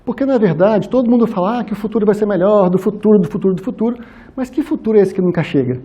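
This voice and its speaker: High-pitched, very mellow Brazilian man lecturing. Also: fast, husky. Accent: Brazilian Portuguese, Minas Gerais. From Minas Gerais, Brazil. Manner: conversational, colloquial, with frequent tag questions. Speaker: speaker at a spiritist society. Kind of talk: informal religious lecture to an audience.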